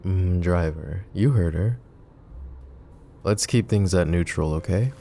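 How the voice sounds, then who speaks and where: A man playing a deep gravelly mafia boss. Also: slow pace, faint music behind, full character voice. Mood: calm scheming. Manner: teasing, soft-spoken. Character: flirty possessive male mafia boss, protective. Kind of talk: ASMR roleplay.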